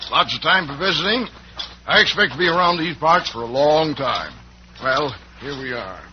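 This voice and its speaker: Animated old-radio western horse thief, played by a man. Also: a little high, moderate pace, close to an everyday voice. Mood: boastful, folksy. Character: smooth, boastful rancher horse thief, adult male villain. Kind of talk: 1940s radio western acting. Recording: muffled, noisy old radio recording.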